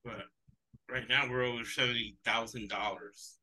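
A man's voice: mid-range voice, fairly even intonation, normal speed, bright, forceful tone. A man, English his second language, hosting a sports betting podcast in casual, rambling chat. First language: not English. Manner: rambling, digressive, chuckles often.

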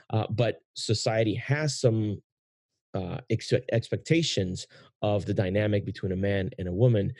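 A man's voice: fairly low pitch, creaky, dark tone, husky, no room sound. A man hosting a podcast, chatting casually with a guest, often hesitating with fillers and restarts. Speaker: podcast host.

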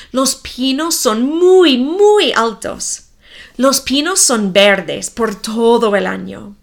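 A woman's voice: mid-range voice, a bit bright. A woman from the United States with an American accent, a native English speaker, narrating a scripted story for language learners in simple, carefully separated sentences. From Minnesota, United States. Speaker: host of a Spanish-learning story podcast.

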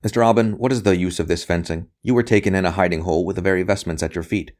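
A man's voice: deep voice, steady tone, racing, close to an everyday voice. Relentless interrogator for a storybook, acted by a man: clipped, insistent, coolly impatient official. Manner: forceful, clipped, pressing questions.

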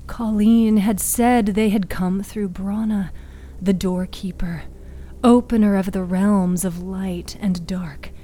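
A woman narrating a dark story in a hushed, breathy, suspenseful, dramatic manner. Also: mid-range voice. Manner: slow, hushed, suspenseful delivery. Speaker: narrator and author of an audio fiction podcast.

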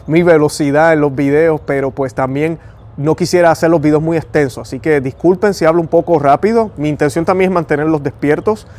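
Fast-talking Puerto Rican man. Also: on the high side, a little mellow. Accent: Puerto Rican Spanish. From Puerto Rico. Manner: fast-talking, earnest, prayerful. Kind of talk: devotional talk and prayer.

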